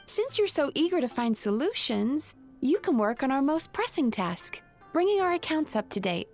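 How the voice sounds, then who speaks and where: A composed spirit lady running a bathhouse in an anime game. Her voice is on the high side. She speaks politely with a gentle tease, over background music. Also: very animated, unhurried, exaggerated voice. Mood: teasing. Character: poised, gently teasing female dream-spirit bathhouse manager. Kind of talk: anime-style video game acting.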